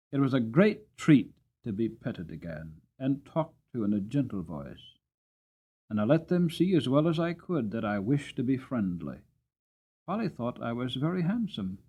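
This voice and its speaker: A man reading a story aloud as a dramatic, expressive audiobook narrator. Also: medium pitch, husky, phone-quality sound. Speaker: audiobook reader.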